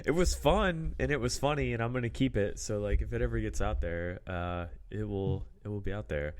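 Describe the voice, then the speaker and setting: A man's voice: fairly low pitch, brisk, clean tone. A man hosting a podcast in casual chat, laid-back and rambling, a little drunk. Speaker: podcast host.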